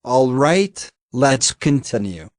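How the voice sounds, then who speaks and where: A man's voice: mid-range voice, sing-song, takes it slowly, dry recording, clean studio sound. A man, a native Finnish speaker, giving blunt, sarcastic reaction commentary on a video and swearing now and then.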